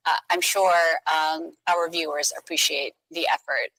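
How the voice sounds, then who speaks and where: A woman's voice: low voice, steady tone, unhurried, a bit bright, poor audio. A woman radio host moderating a panel chat, light, chatty and self-mocking.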